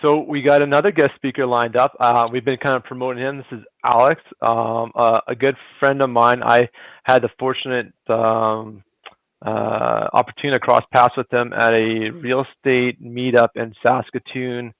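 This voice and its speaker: A man hosting a livestream, chatting in a relaxed, loose way. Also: mid-range voice, moderate pace, dry recording. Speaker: host. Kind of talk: casual livestream chat. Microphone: video call. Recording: dull lo-fi call audio.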